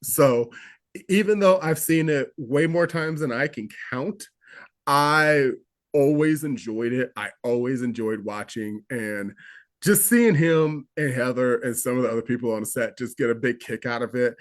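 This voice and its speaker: Brief: male actor interviewee, mid-pitch, eager storyteller, lots of restarts. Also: expressive, normal speed. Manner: enthusiastic, rambling with restarts and fillers. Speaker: actor guest. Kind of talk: interview recollection.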